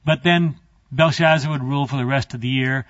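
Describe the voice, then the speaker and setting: A man's voice: mid-range voice, steady tone, a little fast, fairly bright tone, dry recording. A man teaching a Bible class in a conversational lecture style, wandering into asides and speaking directly to his students. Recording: dry, slightly muffled recording.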